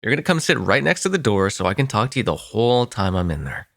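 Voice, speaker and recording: Man acting a low-voiced, protective vampire. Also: very animated, gravelly, a bit bright, full character voice. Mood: shaken, pleading. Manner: intimate, halting, swearing, sighing. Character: frantic, protective male vampire, foul-mouthed and sardonic. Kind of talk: ASMR audio roleplay acting.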